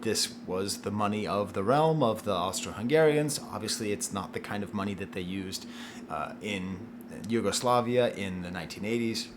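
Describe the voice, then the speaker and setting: An American man hosting a casual album review, chatty and often laughing, swinging from low murmurs to excited bursts. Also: moderate pace, background noise. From United States.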